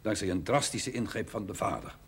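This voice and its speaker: An actor playing a frank, stern village doctor in a television drama, speaking in a formal, measured and blunt way. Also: male voice, on the low side, a bit bright, slight background noise. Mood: grave, clinical. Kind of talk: Dutch television period drama acting.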